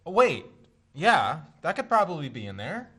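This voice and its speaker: A man's voice: on the high side, very animated, moderate pace, fairly bright tone, husky, exaggerated voice. An actor playing a laid-back, dry-humored man who offers pasta and guitar serenades instead of wealth. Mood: wry. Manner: dry, teasing. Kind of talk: stage-play acting.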